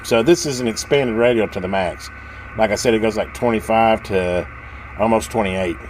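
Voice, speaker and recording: A man's voice: lowish voice, moderate pace, a little mellow, rough recording. A man giving a casual, unscripted hands-on demonstration as a video host, rambling in a folksy, enthusiastic way. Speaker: hobbyist video host showing a vintage radio. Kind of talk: casual unscripted show-and-tell. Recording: radio static and background noise. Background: CB radio hiss and chatter.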